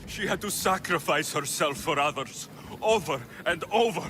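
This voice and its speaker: Forceful young Greek warrior, swinging in pitch. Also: male voice, lively intonation, moderate pace, a little hiss or hum. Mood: bitter grief. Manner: blunt, forceful, accusing. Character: grieving, hot-tempered young male warrior of ancient Greece. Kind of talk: dramatic video game acting.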